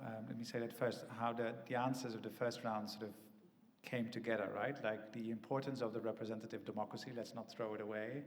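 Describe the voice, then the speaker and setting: Man speaking in a reverberant hall. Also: mid-range voice. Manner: thoughtful, frequent "um" and tag questions. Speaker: panelist. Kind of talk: conference panel talk. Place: large conference hall. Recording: echoey conference hall.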